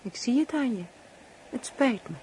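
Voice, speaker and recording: A woman's voice: on the high side, lively intonation, normal speed, warm tone, lightly acted, low-quality recording. Actress as tender, pleading married woman, faint noise. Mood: earnest, apologetic. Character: affectionate, pleading married woman, anxious to please. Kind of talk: radio drama acting. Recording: radio play with faint background noise.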